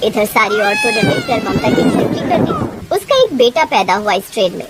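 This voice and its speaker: A woman's voice: high voice, huge pitch swings, normal speed, very noisy. A woman narrating a scripted moral story as a storyteller.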